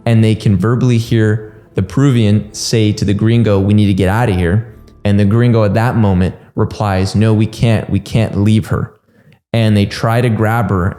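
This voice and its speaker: A man in his twenties recounting a story informally to camera in an earnest, deliberate way, recorded indoors. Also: fairly low pitch, dark tone. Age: 20s. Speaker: host of a documentary channel. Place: small indoor room at home. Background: background music.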